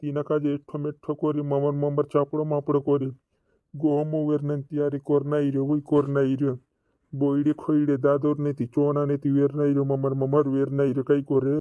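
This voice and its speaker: A man's voice: fairly high pitch, completely flat delivery, a little fast, no room sound, close to an everyday voice. A man voicing a fretting cartoon villager with repetitive, doubled words, in a dull lo-fi recording. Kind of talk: regional comedy cartoon dialogue. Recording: dull lo-fi dry audio.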